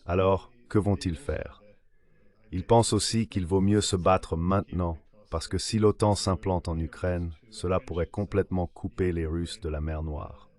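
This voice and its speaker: Low-pitched synthetic male commentator. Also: fairly even intonation, unhurried, darkish tone. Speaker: translated voice of an interview guest, an academic. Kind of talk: dubbed analytical commentary.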